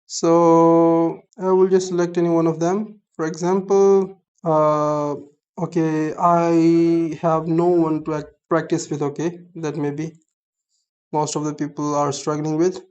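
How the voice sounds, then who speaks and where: A young man with a Pakistani accent walks through an app tutorial, his voice smooth and clear. He keeps a flat, even tone, and his explanations come in halting bits that often open with "so". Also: fairly high pitch, highs cut off. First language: Urdu. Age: young adult. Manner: halting, explanatory, starts many phrases with "so". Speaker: app reviewer. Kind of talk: screen-recorded app tutorial.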